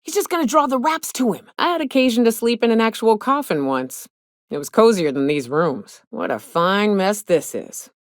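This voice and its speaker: A woman's voice: mid-range voice, animated, normal speed, dry recording, studio quality, lightly acted. A woman playing a brash, sardonic gunslinger from a space-colony crew in a video game, with a wry, drawling, folksy, sweary delivery. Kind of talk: video game voice acting, short companion lines.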